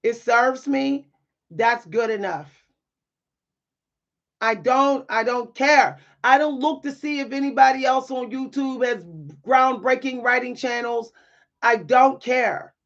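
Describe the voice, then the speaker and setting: A woman with a deep, at times loud voice, speaking in a bold, sassy way with dry humor and repeating phrases for emphasis in a motivational monologue. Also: slow pace, muffled recording. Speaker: spiritual content creator and writer.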